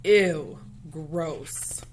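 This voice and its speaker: A woman's voice: low-pitched, extremely slow, background noise. Lady reader doing huffy little school girl from a picture book, blunt and emphatic, bickering. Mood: disgusted. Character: indignant young schoolgirl quarrelling with her best friend.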